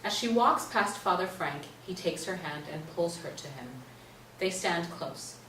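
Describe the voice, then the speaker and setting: A woman's voice: fairly low pitch, lively intonation, a little slow, a bit bright. A woman narrator reading stage directions in a scripted play reading. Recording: roomy stage, distant mic, faint noise.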